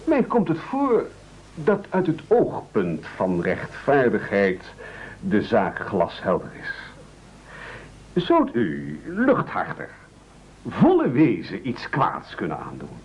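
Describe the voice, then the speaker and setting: An actor playing a pompous, self-pitying castaway chief who wheedles and orates in a theatrical radio play. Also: male voice, medium pitch, huge pitch swings, slow, creaky, a little mellow, breathy edge, highs cut off, heavily acted. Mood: pompous, indignant. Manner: bombastic, oratorical, self-pitying.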